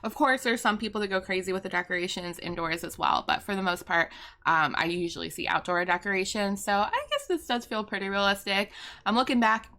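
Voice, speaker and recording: A young American woman chats in a bright, upbeat voice with a slight hoarseness. She rambles cheerfully, full of ums and asides, into a desk mic at home. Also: medium pitch, lively intonation, brisk. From United States. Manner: bubbly, chatty, rambling with fillers. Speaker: YouTuber and streamer. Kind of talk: casual chat over a building video. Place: home room with pastel backdrop and fairy lights.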